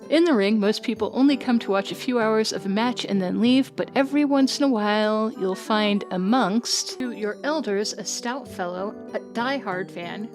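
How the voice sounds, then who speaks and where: A woman performing a scripted narration, spliced together from recorded audio. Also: medium pitch. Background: background music.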